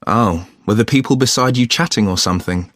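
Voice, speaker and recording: A man's voice: lowish voice, brisk, fairly bright tone, dry recording, clean studio sound, close to an everyday voice. An actor playing an agreeable, realistic young male student in a scripted, conversational listening-test dialogue. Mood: chatty, agreeable. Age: young adult. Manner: conversational, questioning.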